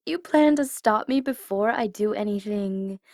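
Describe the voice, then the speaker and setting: A woman's voice: mid-range voice, measured pace, darkish tone, no room sound, studio quality, lightly acted. A woman playing a smug tsundere supervillain in an ASMR audio roleplay, taunting and teasing theatrically, at times in a whisper. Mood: mocking, smug. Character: smug tsundere female supervillain gloating over her captive hero.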